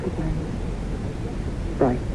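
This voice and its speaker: An actress in an old-time radio drama playing a haunted widow who tells her story in a dramatic, deliberate, earnest way. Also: low-pitched. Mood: fearful. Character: haunted widow solemnly recounting her brushes with death. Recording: dull, hissy old radio broadcast.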